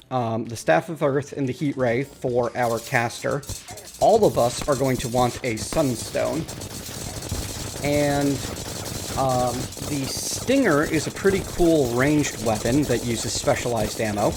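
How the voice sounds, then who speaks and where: A man's voice: medium pitch, measured pace, over music, poor audio. A man hosting a casual multiplayer gaming chat, upbeat and explaining items to friends. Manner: upbeat, explains game items, laughs easily. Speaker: host.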